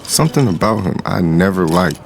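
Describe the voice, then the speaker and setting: Low-voiced cocky streetwise man. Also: lively intonation, a little fast, creaky, slight background noise. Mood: smug, amused. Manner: confident, sly, casual. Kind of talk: first-person dramatized story narration.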